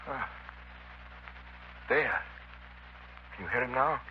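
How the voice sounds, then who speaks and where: Gentleman sleuth, 1930s radio play: suave, intent, expressive intonation, dull vintage broadcast sound. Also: mid-range voice, slow pace, a little hiss or hum. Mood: intent, hushed.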